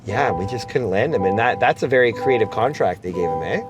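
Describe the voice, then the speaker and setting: A man's voice: fairly low pitch, lively intonation, fairly quick, music in the background. A man with a Canadian accent hosting a casual, chummy interview inside a car, using informal slang. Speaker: interviewer host. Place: inside a car being driven. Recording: noisy moving vehicle interior.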